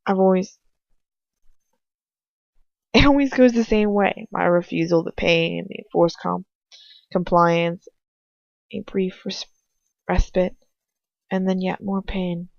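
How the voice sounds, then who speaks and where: A woman reads first-person fan fiction in a quiet, introspective way, sometimes stumbling over words. Her voice has a gravelly fry. The recording is dry and dull, with no room sound. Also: fairly low pitch, slow, long pauses, no echo. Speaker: reader.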